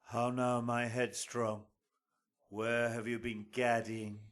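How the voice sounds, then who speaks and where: A man's voice: mid-range voice, little pitch movement, slow, fairly bright tone. An actor playing a domineering, wrathful father of a noble family in a theatrical Shakespearean radio drama. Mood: stern. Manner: theatrical, declaiming, explosive.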